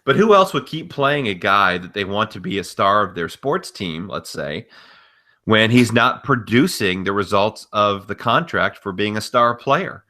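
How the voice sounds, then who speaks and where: Forceful, bright-voiced male podcast co-host. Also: fairly low pitch, animated, unhurried. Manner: emphatic, opinionated. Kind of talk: casual opinionated wrestling discussion.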